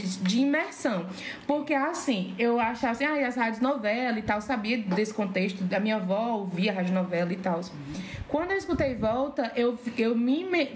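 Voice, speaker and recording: A woman with a Cearense accent from Brazil talks casually and with great enthusiasm. Her voice sits a little high. The recording is roomy and echoey, with faint noise behind it. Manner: gushing, enthusiastic. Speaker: podcast guest, slam poet. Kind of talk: casual chat.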